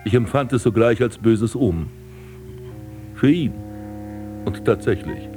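A man's voice: mid-range voice, unhurried, warm tone, close to an everyday voice. Pompous, haughty aristocrat played by a man, music behind. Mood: self-satisfied. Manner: pompous, declamatory, ornate old-fashioned diction. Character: haughty aristocratic landscape gardener prince, vain and scornful. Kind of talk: literary radio drama acting, monologue and dialogue.

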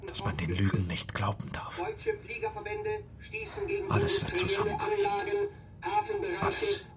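An actor playing a proud film director who talks himself into confidence, declamatory and emphatic, in a German radio drama. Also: male voice, high voice, wildly swooping pitch, takes it slowly, over a noisy crowd, full character voice. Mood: bleak whisper. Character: proud, self-deceiving male film director confiding in his wife. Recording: loud string and guitar music.

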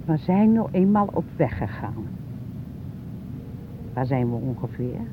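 A man's voice: sing-song, a little slow. A male actor playing a fussy, anxious older spouse in a naturalistic radio drama, coaxing as they talk. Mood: chatty, uneasy. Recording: tinny, noisy recording with vehicle rumble.

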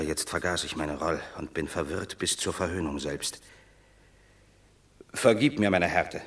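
An actor playing a proud, banished Roman warrior and son in a verse radio drama, declaiming solemnly, stern yet torn. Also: male voice, sits low, fairly bright tone, heavily acted.

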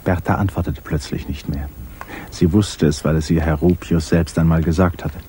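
A deep, dark-toned man tells a literary story as the narrator of a 1950s radio drama. His delivery is measured and grave. The old recording carries a faint hiss. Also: steady tone.

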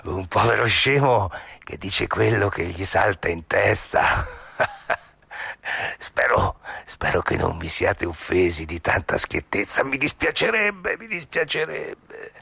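An actor as a sardonic, sneering man in a stage drama, with a low, gravelly voice. He is blunt and mocking, taking his time over each ironic aside with slow, theatrical relish. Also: big pitch swings, pushed and bright, no echo, full character voice. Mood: mock apologetic. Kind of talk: stage monologue acting.

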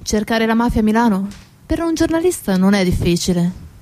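A woman's voice: medium pitch, very animated, fairly quick, warm tone. A woman delivering a dramatic theatrical monologue as a stage storyteller. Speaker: stage actress and narrator.

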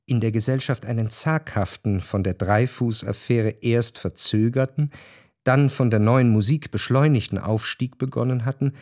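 A man narrating literary prose for a radio drama in measured, deliberate phrases. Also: mid-range voice, darkish tone, no room sound. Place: radio studio. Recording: dry studio with music behind.

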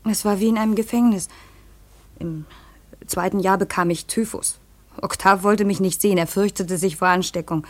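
An actress playing a troubled young widowed aunt, confiding hesitantly and earnestly in a 1950s German radio play. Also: female voice, medium pitch, fairly even intonation, normal speed, lightly acted. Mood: sorrowful, confiding.

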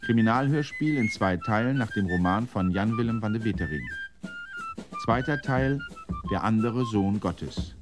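A man's voice: on the low side, expressive, moderate pace. A man formally reading the title and cast credits of a radio drama as its announcer. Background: clear music, flute.

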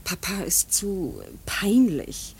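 An actress playing an earnest, reserved daughter of a painter in a radio play, sober and measured. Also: female voice, medium pitch, animated, a little mellow, slightly rough, full character voice, rough recording. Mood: guarded. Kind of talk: classic German radio play acting.

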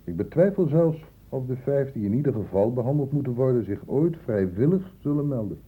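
Earnest male doctor, animated, husky, phone-like. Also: mid-range voice, fairly quick. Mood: grave, concerned. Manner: grave, emphatic, humane. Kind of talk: radio drama acting. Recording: muffled phone-like old radio recording.

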